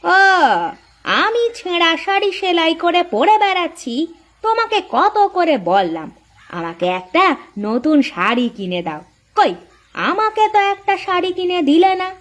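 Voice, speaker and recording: Woman voicing a shrill-pitched nagging young wife. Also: very animated, measured pace, fairly bright tone, heavily acted. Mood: aggrieved. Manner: nagging, sarcastic. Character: sharp-tongued, jealous younger village wife. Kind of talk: moral folk-tale cartoon voice acting.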